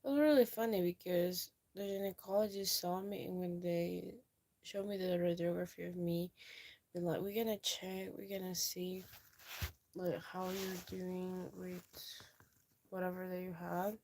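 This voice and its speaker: Young woman, low creaky voice for a rambling chat vlog. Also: fairly even intonation, measured pace, a little mellow. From Peru. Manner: meandering, lots of fillers. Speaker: YouTuber.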